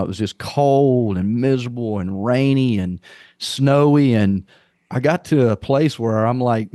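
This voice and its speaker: A man's voice: fairly low pitch, mellow, no echo, clean studio sound. A middle-aged man with a Southern accent, a guest on a podcast, talking casually and earnestly about leadership, often repeating himself as he goes. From Arkansas, US. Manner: rambling, repeats words, earnest. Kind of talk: casual conversational interview.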